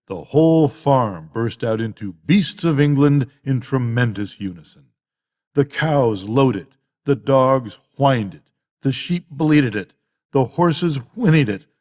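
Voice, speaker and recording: A man's voice: medium pitch, darkish tone. An older man reading a bedtime story as a scripted narrator. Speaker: storybook reader. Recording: long hall-like reverb.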